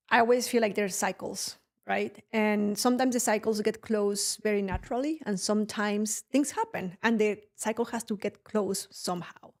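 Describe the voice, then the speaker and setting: A woman whose first language is Spanish, a guest on a podcast, telling her story in an animated way, laughing often and checking in with "right?". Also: medium pitch. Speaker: guest, production company CEO. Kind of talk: casual podcast interview.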